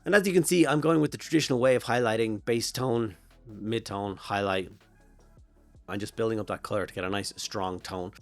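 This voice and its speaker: A hoarse Irish man with a flu-worn voice talks casually through a miniature painting tutorial, rambling and thinking aloud with plenty of fillers. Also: on the low side, fairly quick, no echo, soft background music. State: hoarse from a flu and throat infection. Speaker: miniature painting channel host.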